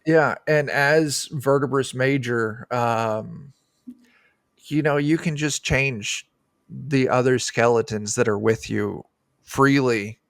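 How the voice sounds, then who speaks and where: A man speaking as a game designer in a casual interview over a video call, pausing to search for words. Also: mid-range voice, creaky, fairly bright tone, dry recording, studio quality.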